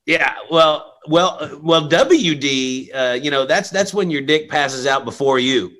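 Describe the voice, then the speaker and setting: A middle-aged man hosting a comedy livestream, chatting in a rambling, excitable way with lots of stammered restarts. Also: a little high, a little slow. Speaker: comedy show host and tequila promoter. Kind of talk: casual banter on a livestream.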